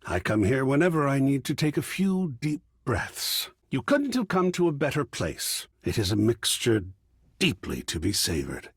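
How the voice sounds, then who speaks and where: An effusive art curator from a video game, with a wildly swooping pitch and a slightly husky edge. He is refined, fussy and theatrical, lingering over ornate phrases. The recording is dry and close. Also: big pitch swings, moderate pace, no room sound. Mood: dreamy, savoring. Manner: florid, fussy, swooping, savoring words. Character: effusive, refined male art curator, an artwork come alive. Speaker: gallery curator character.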